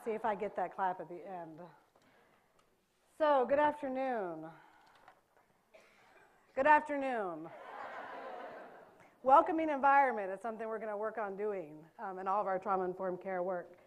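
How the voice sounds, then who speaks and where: A middle-aged woman from North Carolina with a Southern accent, giving a chatty, joking training talk to a live audience in a meeting room. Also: medium pitch. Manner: fast-talking, chatty, self-deprecating jokes. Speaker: trauma care trainer.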